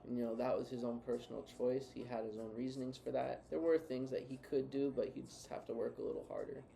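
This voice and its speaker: Earnest male co-host with a gravelly, warm voice; reflective, advising. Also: medium pitch, level tone, quick pace. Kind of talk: reflective casual talk.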